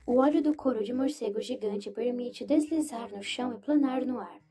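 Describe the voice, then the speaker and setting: A neutral game interface voiced by a woman in an audio story. The voice is high and sits over clear music. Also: normal speed, close to an everyday voice. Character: neutral female game interface reading item descriptions. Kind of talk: item announcement in an audio story.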